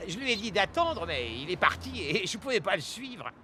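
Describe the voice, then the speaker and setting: A man's voice: high-pitched, very animated, normal speed, pushed and bright, slight background noise, exaggerated voice. A man voicing a worried, conscientious driver with an earnest manner in a French-dubbed children's cartoon. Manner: anxious, earnest.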